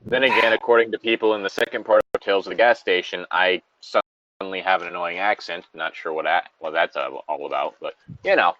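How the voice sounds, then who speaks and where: A man's voice: deep voice, steady tone, brisk, bright, forceful tone, dry recording, lo-fi sound. A man co-hosting a story reading show, switching between reading aloud and casual, sardonic, rambling commentary with some swearing.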